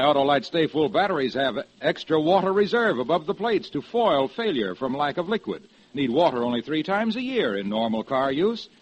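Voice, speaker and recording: A husky American man delivers an old-time radio advertisement. His fairly high voice moves in lively swings, folksy and emphatic like a practised salesman. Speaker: commercial announcer. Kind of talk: 1940s radio advertising pitch.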